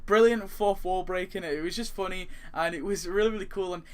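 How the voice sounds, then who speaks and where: A young man with a slightly high voice gives casual countdown commentary for a gaming video. He rambles along and doubles up words for emphasis. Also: brisk, clear, resonant tone.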